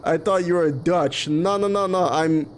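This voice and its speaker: A man's voice: on the high side, measured pace. A man from Curaçao with Papiamento as his mother tongue, chatting casually and opinionatedly as he streams a game. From Curaçao, in the Caribbean. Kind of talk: casual live stream chat over gameplay. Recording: clearly noisy background.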